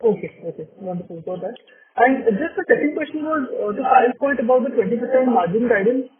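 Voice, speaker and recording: A man's voice: very high voice, animated, fast talker. A man with an Indian accent politely asking questions as an analyst on an earnings call. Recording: thin phone line.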